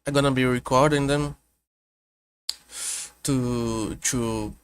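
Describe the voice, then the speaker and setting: Slow, halting man streaming. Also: medium pitch, fairly even intonation, a little hiss or hum. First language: Portuguese. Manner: halting, self-correcting, thinking aloud. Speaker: vtuber streamer. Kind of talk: casual reaction stream chat. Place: home room with air conditioner hum.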